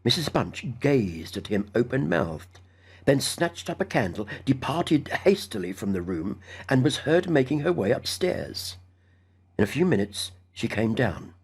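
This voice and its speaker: Animated, husky male storyteller. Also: medium pitch, a little slow. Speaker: audiobook narrator. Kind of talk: scripted literary narration of a ghost story.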